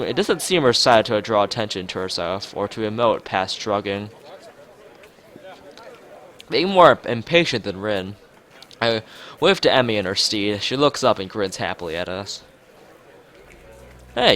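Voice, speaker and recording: A man's voice: lowish voice, animated, normal speed, fairly bright tone. A man reading a visual novel aloud as narration, now and then stumbling and rereading phrases, with music behind him. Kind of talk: dramatized read-aloud narration of a game.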